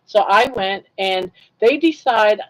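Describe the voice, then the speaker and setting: A woman's voice: medium pitch, lively intonation, slow pace, fairly bright tone, low-quality recording. An older woman talking casually on a livestream, chatty and full of laughter as she tells stories. She often turns to answer comments from her viewers. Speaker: livestream host.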